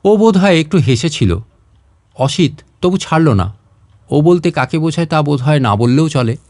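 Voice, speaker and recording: A man narrating a literary short story in an expressive, dramatised reading style. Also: fairly high pitch, normal speed, no room sound.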